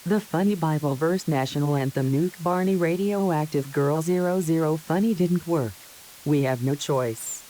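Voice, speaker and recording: A woman's voice: deep voice, moderate pace, lightly acted, low-quality recording. A woman playing a solemn leader who gives a grave, declarative scripted address to a nation in crisis. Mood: grim resignation. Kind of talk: scripted mock emergency address. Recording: faint steady hiss.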